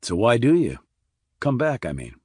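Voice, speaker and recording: A man's voice: medium pitch, wildly swooping pitch, moderate pace, darkish tone, husky, dry recording, clean studio sound. A man voicing a blunt, probing army investigator in audiobook dialogue, terse and questioning. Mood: curious, casual.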